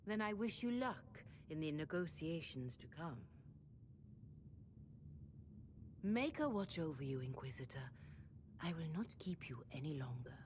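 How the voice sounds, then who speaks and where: Senior Chantry mother for a game cutscene: devout, measured, deferential, with lively swings in pitch. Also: slight background noise. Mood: solemn. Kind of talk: video game cutscene acting.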